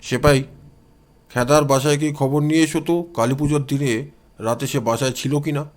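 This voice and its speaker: A man voicing a brisk male police officer in an audio story, giving orders in a curt, authoritative way. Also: medium pitch, steady tone, voice pushed down, natural voice. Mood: commanding.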